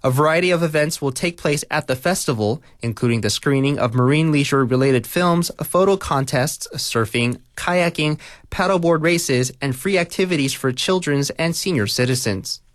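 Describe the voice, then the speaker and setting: A man reading a scripted local news bulletin in a measured, formal way. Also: on the high side, no echo, studio quality. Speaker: news reader.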